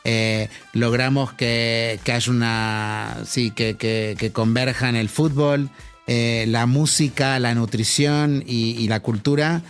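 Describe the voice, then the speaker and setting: A middle-aged Argentine man talks through his answers in a podcast interview. He often restarts and fills his pauses. His voice sits in a middle pitch, with faint music behind him. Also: steady tone. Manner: thoughtful, many fillers and restarts. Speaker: marketing executive guest.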